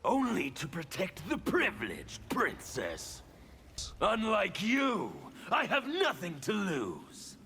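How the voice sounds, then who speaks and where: An actor playing a fanatical, bitter anarchist warlord in a video game, with a gravelly voice and a theatrical, sneering delivery. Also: male voice, high-pitched, huge pitch swings, takes it slowly, bright, forceful tone, slight background noise, exaggerated voice. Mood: defiant zeal. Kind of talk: video game villain dialogue.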